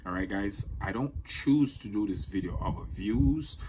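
A man in his forties talks straight into a phone camera, emphatic and repetitive, hands moving as he speaks. His voice sits a little low. A faint hiss of room ambience sits behind him. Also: lively intonation, measured pace. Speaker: social media creator. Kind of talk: talking-head monologue to camera. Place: indoors in front of a green screen. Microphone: phone or camera close in front.

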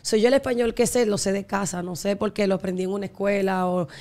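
A woman's voice: mid-range voice, steady tone, fast, dark tone. A young woman with a Dominican accent, chatting as a guest on a studio podcast and slipping English words into her Spanish. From United States, Miami area. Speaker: guest, singer. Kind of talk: casual podcast interview. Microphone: studio microphone on stand.

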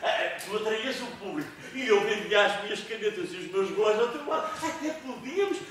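A man's voice: high-pitched, animated, a little fast, fairly bright tone, a little hiss or hum. An older man acting a chirpy old street peddler of pens and watches, on an amateur stage. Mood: eager. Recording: echoey hall from far off.

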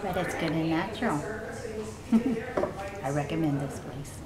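A woman's voice: low-pitched, animated, unhurried. A middle-aged woman chatting casually, recorded at a coffee shop. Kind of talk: casual chat. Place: coffee shop seating area. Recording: echoey, very loud public background chatter.

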